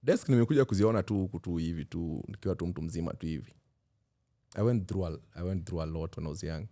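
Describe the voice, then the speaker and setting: Fast-talking Kenyan man in his thirties. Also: low-pitched. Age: 30s. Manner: animated, laughs often, repeats himself when excited. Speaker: podcast host. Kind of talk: casual chat mixing English and Swahili.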